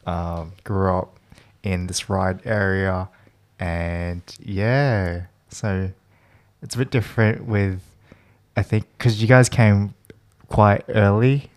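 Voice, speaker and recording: A woman's voice: extremely low pitch, steady tone, takes it slowly, darkish tone. A young Australian woman from Sydney co-hosting a casual podcast, telling stories easily and laughing often. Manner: easygoing storyteller, laughs often. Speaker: podcast co-host. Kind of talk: casual chat.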